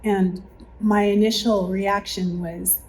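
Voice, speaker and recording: A woman reflecting on church life in a group interview, thoughtful and halting, correcting herself as she goes. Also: medium pitch, flat delivery. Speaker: church member recalling past challenges. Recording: faint background room noise.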